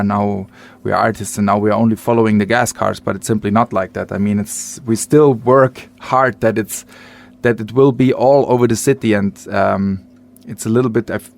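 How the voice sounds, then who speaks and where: Man, low voice, earnest, hesitant phrasing, casual radio interview guest. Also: creaky. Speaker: interviewed artist. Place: radio studio.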